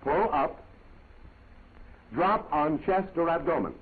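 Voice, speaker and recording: Tinny high-pitched 1940s training film narrator, male. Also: slow, slightly rough. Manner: clipped, commanding step-by-step instruction. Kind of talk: scripted 1940s military instructional narration. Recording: tinny band-limited old 1940s film soundtrack.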